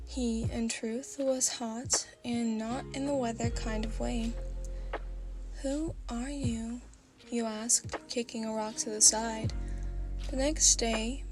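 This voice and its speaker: A woman reads a fan story aloud in a slow, sleepy style, deliberately pressing her voice lower than her usual speech. Even lowered, it still sits fairly high for a woman. Music plays steadily behind her. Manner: lowered, deliberately deeper reading voice. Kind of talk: reading fan fiction aloud, sleepy ASMR.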